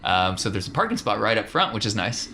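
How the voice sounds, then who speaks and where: A man with a fairly low voice runs a tabletop game. He narrates casually as game master, with playful and informal descriptions. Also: very animated, a little fast, pushed and bright, slight background noise.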